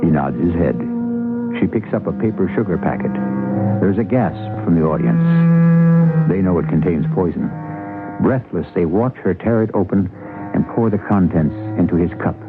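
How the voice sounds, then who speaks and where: Man, radio drama host, deep voice, suspenseful narration, measured, muffled old broadcast. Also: animated, loud music behind the voice. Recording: dull lo-fi old radio recording.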